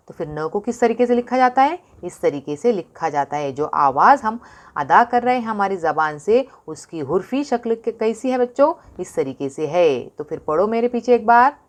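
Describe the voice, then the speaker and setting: Woman teacher with an Indian Urdu accent and a slightly low voice, coaxing small children through a lesson. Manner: coaxing, asks questions and answers them herself; stretches words and repeats them slowly for children. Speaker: primary school Urdu teacher. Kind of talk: online lesson for young children.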